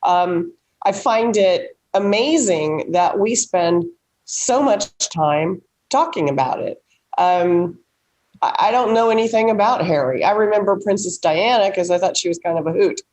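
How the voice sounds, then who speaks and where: A woman's voice: medium pitch, lively intonation, a little slow, a little mellow. An American woman giving opinions in a casual panel chat, with a blunt, salty and folksy way of talking.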